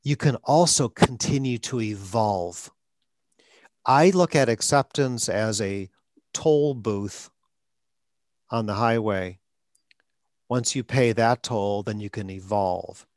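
A man's voice: on the low side, slow, long pauses, darkish tone, no echo. Guy coaching on a podcast, short phrases, sometimes catches on a word, dry sound. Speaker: stuttering coach and podcast host. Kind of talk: conversational coaching talk.

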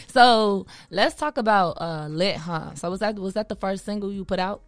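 A woman interviewing in a casual, slangy way, agreeable and quick to affirm what she hears. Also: medium pitch, no room sound, soft background music.

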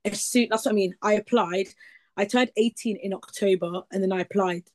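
A woman's voice: mid-range voice, steady tone, fairly quick, darkish tone. A woman, chatting as a guest in a rambling, casual way full of likes and ums. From East Midlands, England. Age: 20s. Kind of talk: casual interview chat.

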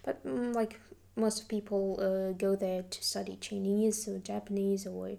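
Young female student, non-native English, creaky voice, slow hesitant delivery in a casual interview. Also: medium pitch, fairly even intonation, dark tone. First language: not English. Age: young adult. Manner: hesitant, searching for words. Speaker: university linguistics student being interviewed.

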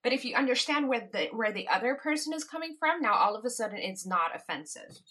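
A woman's voice: on the high side, animated, fast talker, bright, forceful tone. A woman from the Caribbean hosting a podcast, talking in a reflective, casual monologue and laughing lightly between her points. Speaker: podcast host.

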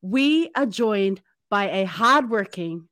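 A woman's voice: medium pitch, lively intonation, slow, fairly bright tone, dry recording, clean studio sound. A woman hosting a podcast interview, upbeat and chatty, laughing often and using casual slang. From Samoan community in New Zealand. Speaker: podcast host. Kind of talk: warm interview chat.

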